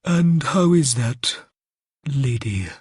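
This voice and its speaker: A man's voice: mid-range voice, lively intonation, slow pace, breathy edge, dry recording, studio quality, voice pushed up, heavily acted. A man voicing a gaunt, disdainful aristocratic lord, speaking in a prissy, condescending way.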